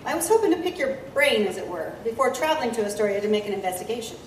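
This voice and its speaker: A woman's voice: on the high side, lively intonation, racing, close to an everyday voice. A woman playing an eager, polite but impatient reporter in an audio drama, hesitant at first yet insistent. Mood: earnest. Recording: roomy, distant, faint background noise.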